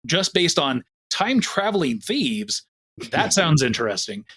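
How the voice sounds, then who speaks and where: A man producing an audio drama, talking about it in an earnest, drawn-out way in a casual podcast chat. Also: on the high side, big pitch swings, a bit bright, husky.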